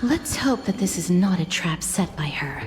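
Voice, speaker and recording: A woman's voice: lowish voice, very animated, normal speed, music in the background. An actress playing a polite, guarded, protective young woman in a video game, with careful formal speech. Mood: guarded, earnest.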